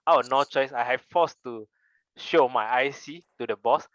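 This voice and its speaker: A man's voice: mid-range voice, measured pace, fairly bright tone, dry recording, highs cut off. Earnest Malaysian man telling a story. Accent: Malaysian English. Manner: earnest storytelling with rhetorical questions. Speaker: club member giving a speech. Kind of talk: prepared personal speech.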